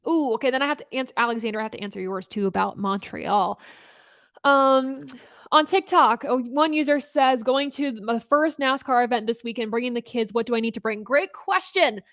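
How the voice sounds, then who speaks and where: Young woman host, chatty live-stream talker, fairly high voice, dry close sound. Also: moderate pace, a bit bright, no room sound. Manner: chatty, upbeat, laughs often, many fillers and restarts. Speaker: host of a live racing talk show. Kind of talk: casual live-stream chat answering viewer questions.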